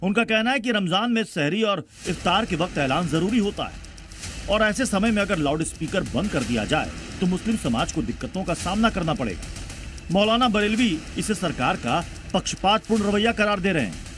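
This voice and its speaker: A man's voice: high-pitched, animated, moderate pace. A man narrating a scripted TV news report in a dramatic style. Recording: loud music bed behind voice. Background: background music.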